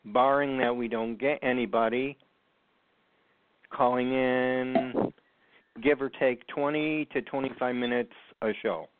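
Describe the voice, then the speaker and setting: A man hosting a call-in radio talk show, speaking slowly with frequent ums and pauses. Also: mid-range voice, fairly even intonation, slight background noise. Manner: slow, halting, many ums. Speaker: host. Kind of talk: casual radio chat. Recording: tinny phone line, dry.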